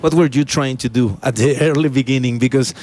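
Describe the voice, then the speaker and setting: A man running an interview, speaking haltingly as he gropes for the right words. His phrasing is non-native and slightly broken, with plenty of "uh" between his questions. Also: medium pitch, darkish tone.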